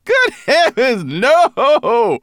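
A man voicing a hearty, exuberant male brownie who grows mushrooms, loud and exclaiming, for a storybook reading. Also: high voice, very animated, takes it slowly, dry recording, studio quality, voice pushed up, full character voice. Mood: amused. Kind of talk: storybook character acting in audiobook.

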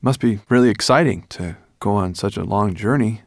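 A man's voice: lowish voice, moderate pace, gravelly, dry recording, studio quality. A man voicing an eager young boy in a storybook reading.

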